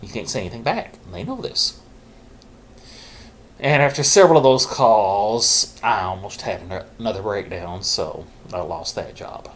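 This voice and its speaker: A middle-aged man speaks in a fairly low voice, telling his own story in a candid, reflective way. He pauses, restarts and keeps his naturally loud voice reined in. A faint hiss sits under the recording. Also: animated. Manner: says he is keeping his loud voice low on purpose. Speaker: vlogger sharing his mental health story.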